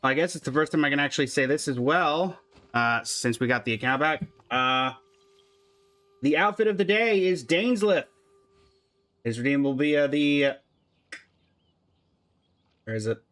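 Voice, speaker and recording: A man's voice: fairly high pitch, expressive, normal speed, long pauses, a bit bright. A man giving casual, chatty commentary on a game livestream, talking to his chat and humming little filler syllables.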